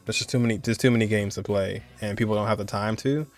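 A man's voice: fairly low pitch, steady tone, fast, soft background music. A young adult man hosting a gaming reaction video with casual commentary.

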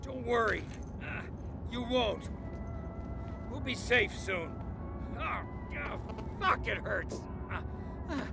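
A frantic criminal on the edge in a game cutscene. His voice is pushed very high, dragged out slowly, raw and profane. Music runs loudly underneath. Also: animated, gravelly, heavily acted. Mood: pained. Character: desperate, enraged, foul-mouthed male criminal on the edge. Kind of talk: video game cutscene acting.

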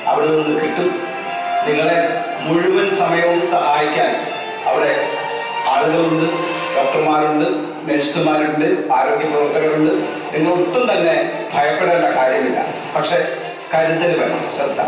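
A man's voice: fairly high pitch, natural voice. Music plays behind a far-off, heavily reverberant voice. It is an earnest puppet doctor, acted by a man with a Kerala accent. The delivery is emphatic and exhortative, as in a scripted health-awareness puppet play. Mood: earnest, reassuring. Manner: emphatic, exhortative, rhetorical questions. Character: earnest puppet doctor urging public to stay careful. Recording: very echoey hall, distant, music behind.

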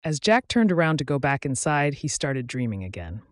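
A man's voice: mid-range voice, very animated, normal speed, dry recording, studio quality. A man reading a story aloud as scripted audiobook narration.

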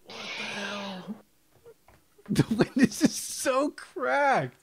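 A young man gives casual live reactions on a game stream. His voice is a bit high and gravelly, excitable and sweary, with clear game music behind. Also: drawn-out words, slight background noise. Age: young adult. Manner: excitable, slangy, profane exclamations. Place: small indoor room.

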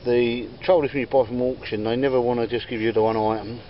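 A man's voice: mid-range voice, steady tone, quick pace. A man casually chatting while showing his auction finds, rambling with lots of ums and restarts as he films outdoors. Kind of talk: casual chat. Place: outdoors in a garden and workshop. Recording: slightly dull camera audio with faint background noise. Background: faint outdoor ambience and church bells.

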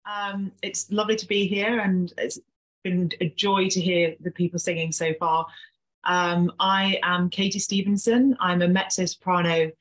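A woman's voice: fairly low pitch, fairly even intonation, normal speed, poor audio. A young woman with an English accent introducing herself casually on a video call from home. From Nottinghamshire, England. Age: young adult. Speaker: mezzo-soprano, competition finalist.

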